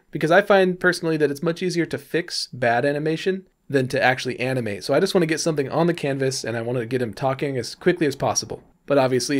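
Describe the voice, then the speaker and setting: A man narrating a tutorial in a casual, informal, explanatory way. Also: a little high. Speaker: 3D animation tutorial presenter.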